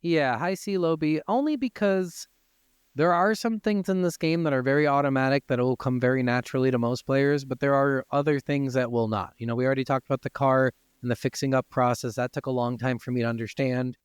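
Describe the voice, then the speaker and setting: Smooth-voiced man, slightly high, casual and sweary. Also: steady tone, fairly quick, no room sound, studio quality. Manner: informal, freely profane. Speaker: podcast co-host. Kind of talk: casual video game discussion.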